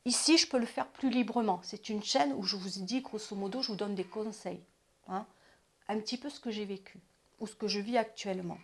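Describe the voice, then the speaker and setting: A woman's voice: mid-range voice, brisk. Chatty woman in her fifties giving advice. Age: 50s. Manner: chatty, repeats and restarts, drops to asides. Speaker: advice channel host. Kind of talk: casual spoken advice.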